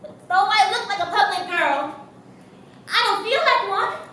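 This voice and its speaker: Actress doing a naive young girl on stage, super high lively voice, echoey noisy theater, mic far away. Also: a little slow, exaggerated voice. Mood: reflective. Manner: giggly, exclaiming, chatty. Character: naive, excitable young woman from a cyborg district.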